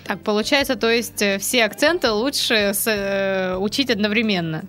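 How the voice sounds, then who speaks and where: A woman hosting a podcast, asking her guest questions in a halting way, with pauses and filler sounds. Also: mid-range voice, fairly bright tone, soft background music. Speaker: podcast host. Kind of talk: interviewing a guest.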